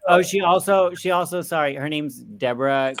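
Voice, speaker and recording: A man's voice: a little high, moderate pace, dry recording. Dry-sounding man giving film opinions. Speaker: film review panelist. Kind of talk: casual group film discussion.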